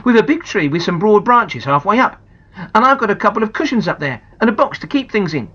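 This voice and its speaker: A woman's voice: mid-range voice, big pitch swings, fairly quick, a bit bright, voice pushed up. A woman voicing an enthusiastic young boy sharing a plan, in a slightly dull recording. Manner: enthusiastic, persuasive.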